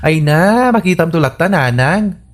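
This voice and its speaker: A woman's voice: lowish voice, lively intonation, normal speed. A woman with an Ilocano Filipino accent voicing a whining, playful son in a radio comedy drama.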